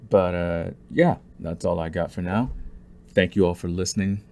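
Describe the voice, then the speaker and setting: Deep-voiced man chatting casually to listeners. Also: fairly even intonation, moderate pace, darkish tone. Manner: informal, earnest, says y'all. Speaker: audio roleplay creator. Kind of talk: casual outro chat to listeners.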